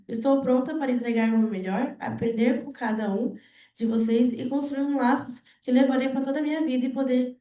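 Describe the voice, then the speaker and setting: Young woman, eighteen, earnest church testimony, mellow voice, roomy echo. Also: fairly high pitch. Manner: earnest, many fillers like "né" and "na verdade". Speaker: team member giving testimony. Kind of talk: personal testimony to a church group.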